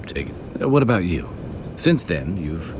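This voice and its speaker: An actor playing a weary, reflective seafaring companion in a fantasy game, musing in a measured, plain-spoken way. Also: male voice, sits low, very animated. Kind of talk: video game dialogue acting. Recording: clear background noise, game ambience.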